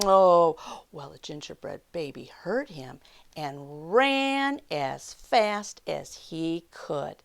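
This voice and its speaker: A woman reading a picture book aloud to young children, expressive and dramatic, with questions and hushed asides to the listeners. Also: lowish voice, creaky, slight background noise. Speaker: children's storyteller.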